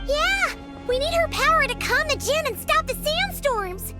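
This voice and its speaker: A woman's voice: extremely high pitch, lively intonation, unhurried, loud music behind the voice. A woman voicing a small, chirpy, childlike fairy companion in a video game, full of exclamations. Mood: excited. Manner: chirpy, exclaiming, talks about self in third person. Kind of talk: animated video game voice acting.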